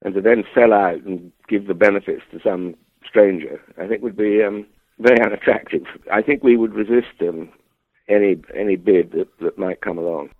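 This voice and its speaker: A man speaking as a club chairman in an old interview clip talks in a measured, hesitant way, with many ums. His voice is very deep. The sound is tinny and phone-like, with a dry feel. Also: breathy edge, dry recording.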